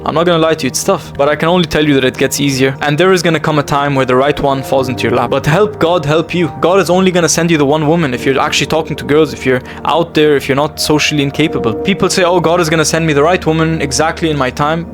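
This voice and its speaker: Young male vlogger, fairly high voice, rapid earnest confessional delivery, repeats lines for emphasis, music bed underneath. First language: English. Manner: earnest and intense, repeats phrases for emphasis, calls listener bro. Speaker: self-improvement vlogger. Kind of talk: emotional confessional monologue to camera. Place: bedroom.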